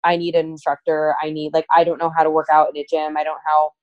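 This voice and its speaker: A young woman talks as a guest in casual chat, bluntly and loudly, swearing freely. Her voice sits slightly low. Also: completely flat delivery, fast talker, smooth, lo-fi sound.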